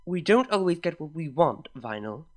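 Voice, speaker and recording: A man voicing a reserved, cultured young pony mare, talking quietly and firmly in a clipped way. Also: fairly high pitch, big pitch swings, moderate pace, dry recording.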